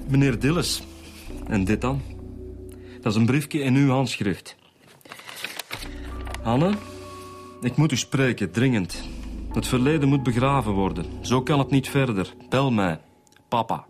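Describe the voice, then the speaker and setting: An actor playing a plain-spoken male detective in a Flemish radio drama, measured and matter-of-fact. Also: mid-range voice, music in the background, lightly acted. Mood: confronting. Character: plain-spoken male detective, diligent subordinate who grumbles.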